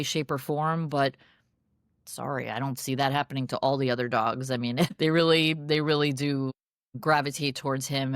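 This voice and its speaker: A woman guest in a podcast interview, upbeat and expressive, with frequent restarts and laughs. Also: low-pitched, dry recording, studio quality. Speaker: guest, dog meetup organizer. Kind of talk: casual interview chat.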